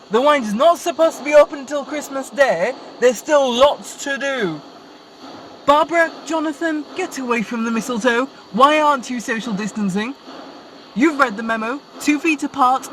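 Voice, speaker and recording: A woman's voice: high voice, fairly bright tone, a little hiss or hum, poor audio. A woman playing a bossy, sarcastic, exasperated supervisor in Santa's workshop in a radio play. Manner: scolding, exasperated.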